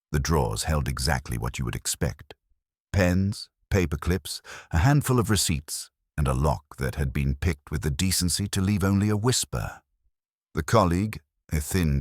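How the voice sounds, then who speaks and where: A man's voice: rumbling low, no room sound, studio quality. A man narrating a scripted first-person detective story in a wry, hard-boiled manner. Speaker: audiobook narrator.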